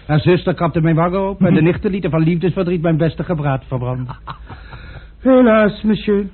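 A man's voice: high-pitched, normal speed, slightly rough. A man playing a fawning, luckless servant. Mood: theatrical lament. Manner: obsequious, says monsieur constantly. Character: fawning hapless male servant and cook. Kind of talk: radio drama acting. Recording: muffled phone-like old radio recording.

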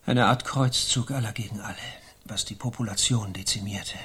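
An actor playing a dour medieval servant in a radio play, reporting in a stilted, deadpan, archaic manner with a husky voice. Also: male voice, fairly low pitch, moderate pace. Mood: gloomy, deadpan.

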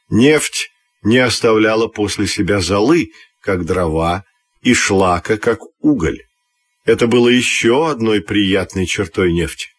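A man reading a scripted children's fairy tale as an expressive, measured storyteller. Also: lowish voice, breathy edge.

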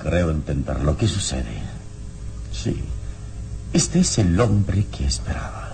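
An actor playing a calm, noble adventurer hero in an old radio serial, with a solemn, self-assured delivery. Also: male voice, low-pitched, slow pace, vocal fry, heavily acted. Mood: composed. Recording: radio serial with music bed.

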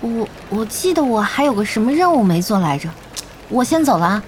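A woman playing a hesitant young female gamer in an audio drama, speaking awkwardly and haltingly. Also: a little high, sing-song, a little hiss or hum. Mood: sheepish.

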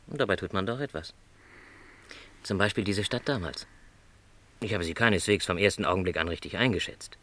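A man's voice: on the low side, sharp tone, dry recording. An actor playing a lonely, dreamy middle-aged travelling salesman in a radio drama, speaking in reflective, rhapsodic literary phrases. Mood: wistful, musing.

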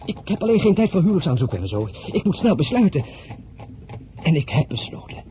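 A man's voice: a little high, very animated, fairly quick, mellow, a little hiss or hum. A man playing an earnest, blunt French general of thirty-one in a Dutch drama, courting in a direct, insistent way. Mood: urgent, insistent. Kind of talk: Dutch radio drama acting.

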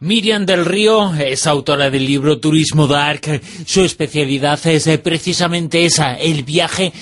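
A man's voice: a little high, measured pace, fairly bright tone, no echo. A man with a Spanish accent, presenting a radio show in an announcer-like way, with many fillers. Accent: Spanish (Spain). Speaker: radio host.

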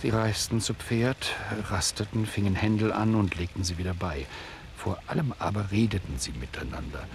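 A man playing a musing storyteller who narrates a literary radio play in a measured, theatrical way. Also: lowish voice, vocal fry, a little hiss or hum. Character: storytelling author, musing and self-aware narrator.

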